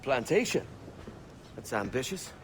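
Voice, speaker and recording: An actor playing a cocky, roguish young pirate captain in a video game. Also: male voice, mid-range voice, big pitch swings, a little slow, noisy background. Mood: scheming.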